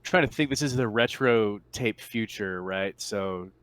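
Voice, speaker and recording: A man's voice: lowish voice, measured pace, a bit bright, clear, resonant tone. A man running a tabletop game as game master, narrating casually and off the cuff in a conversational, hesitant way.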